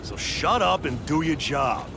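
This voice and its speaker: A man's voice: on the high side, lively intonation, measured pace, background noise. A man playing a surly, impatient crook who bosses underlings around in a video game.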